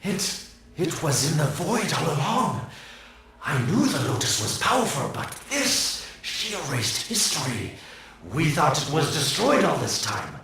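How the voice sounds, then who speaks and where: A gleeful, sly scientist drawls his mocking taunts at a slow pace. His voice has a gravelly edge and rings with heavy echo, as if from a distance. Faint clicks and noise sit behind him. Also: fairly high pitch, big pitch swings, pushed and bright, full character voice. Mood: sardonic. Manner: smug, sarcastic, drawling taunts.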